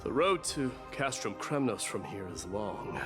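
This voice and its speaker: Deep-voiced, solemn warrior king. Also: very animated. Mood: calm, reflective. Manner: grave, formal, measured. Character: solemn, stoic warrior king turned god, male. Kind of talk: dramatic video game voice acting. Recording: game dialogue over loud music.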